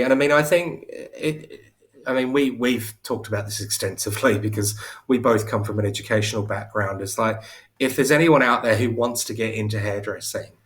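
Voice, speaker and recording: A man's voice: mid-range voice, fairly bright tone. A man chatting on a video call from home, talkative and self-deprecating, often restarting his sentences. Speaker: hair coach and salon owner. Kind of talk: casual live interview chat.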